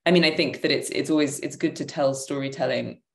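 A woman with a very low voice speaks thoughtfully and conversationally, feeling her way through each answer. She sounds like she is on a video call from an echoey room at home. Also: a little fast, gravelly. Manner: hesitant, thoughtful, self-correcting. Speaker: interview guest, theatre maker. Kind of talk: reflective conversational interview answers. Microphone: computer microphone on a video call. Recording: roomy, echoing video-call audio.

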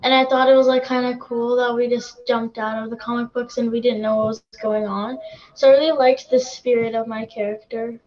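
A young woman talks casually about acting on a video call. Her voice is fairly high, and the audio is a bit muffled. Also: flat delivery, fairly quick, a little mellow. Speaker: amateur actor on a panel. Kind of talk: casual recollection.

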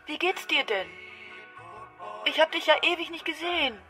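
A demanding older mother in a radio crime play. Her voice is high and piercingly bright, with a fussy, critical manner. Faint music sits behind her. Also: animated, normal speed. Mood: chatty, insistent. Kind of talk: German radio crime drama acting.

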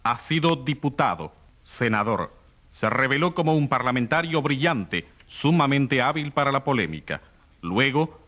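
A man's voice: mid-range voice, fairly bright tone. A man acting a studio announcer, reading scripted profiles in a formal, declamatory way for a radio drama.

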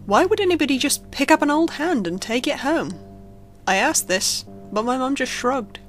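Woman reading a creepypasta, expressive storyteller, music playing behind her. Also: high voice, normal speed. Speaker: creepypasta narrator. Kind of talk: scripted horror story narration. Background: background music.

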